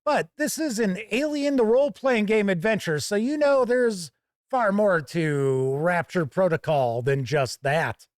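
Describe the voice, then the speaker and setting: Male review host, slightly high voice, folksy and measured, emphatic phrasing, close dry studio sound. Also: expressive, no echo. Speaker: host and reviewer of a tabletop gaming channel. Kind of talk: conversational product review to camera.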